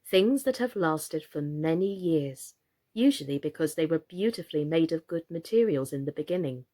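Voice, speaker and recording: A woman reading a storybook, voicing a wise, kindly female doll with a calm, measured, patient manner. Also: low voice. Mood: patient explaining.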